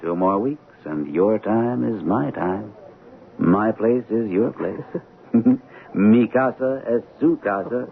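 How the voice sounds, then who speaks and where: An actor playing an affectionate, bantering doctor in an old-time radio drama. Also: male voice, on the low side, slow, gravelly. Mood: teasing, affectionate. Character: amorous, bantering male hospital doctor engaged to colleague. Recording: narrow-band old radio broadcast.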